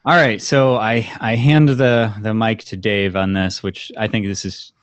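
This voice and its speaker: A man's voice: fairly low pitch, steady tone, unhurried, dry recording. A man co-hosting a podcast in casual banter. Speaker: podcast co-host.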